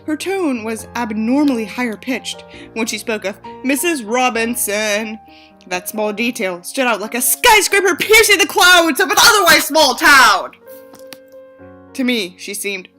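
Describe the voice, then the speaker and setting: A woman's voice: fairly high pitch, sharp tone. A woman reading an audiobook aloud with theatrical delivery and sarcastic, sweary asides. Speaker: audiobook reader and commentator. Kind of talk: scripted narration with sarcastic asides.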